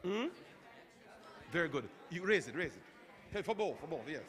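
Animated high-pitched man addressing students. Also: normal speed, a faint crowd. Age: middle-aged. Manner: animated, questioning, calls on listeners. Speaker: teacher speaking at a school chapel service. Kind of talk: interactive talk to students. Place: school chapel hall. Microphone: handheld microphone.

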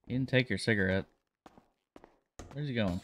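Low-voiced, easygoing man. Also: moderate pace. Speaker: role-player playing a police lieutenant. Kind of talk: casual improvised in-game role-play chat.

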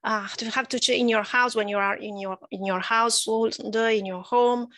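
A middle-aged woman with an Italian accent, a native Italian speaker, speaking on a panel over a video call with a headset, measured and thoughtful with frequent hesitations. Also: a little high, steady tone, fairly bright tone, dry recording, studio quality. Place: indoor home office.

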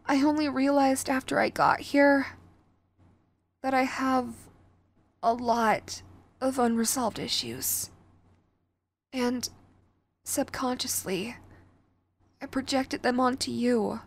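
A woman's voice: high-pitched, steady tone, takes it slowly, vocal fry, pauses often, full character voice. A woman playing a sweet, clingy, remorseful yandere girlfriend in an audio roleplay, hesitant and pleading, speaking intimately to the listener. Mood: subdued, grateful. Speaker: voice actor.

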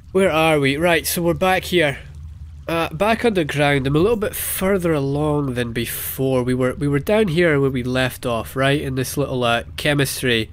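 A man's voice: mid-range voice, a bit bright. A young Scottish man with a Scottish accent, commenting casually and sarcastically on a video game he is playing. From Scotland. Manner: sarcastic, jokey asides and mock voices. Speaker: let's play host.

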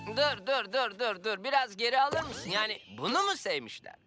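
A woman's voice: on the high side, big pitch swings, moderate pace. A woman voicing a wisecracking talking cat sidekick in a children's cartoon, with exaggerated comic reactions. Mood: baffled. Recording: music behind the voice.

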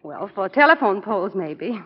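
A woman's voice: mid-range voice, big pitch swings, moderate pace, slight background noise, natural voice. An actress playing a long-suffering, wry young wife and mother in 1940s radio style, dry and teasing. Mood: wry, scolding. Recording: muffled 1940 radio broadcast.

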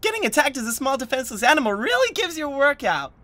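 A man playing an excitable, doting devil in a roleplay audio, stammering and gushing with awkward, playful affection. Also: very high-pitched, fast, no echo, heavily acted. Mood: giddy, playful. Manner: stammering, gushing, swings from gentle to manic.

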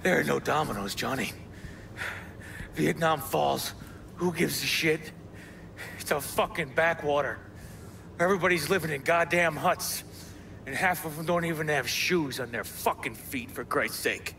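A man's voice: a little high, measured pace, creaky, pauses often, sharp tone, slight background noise, full character voice. A man playing a wounded, cynical American rogue operative in a video game, ranting profanely and defiantly. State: badly wounded, coughing. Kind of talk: video game cutscene acting.

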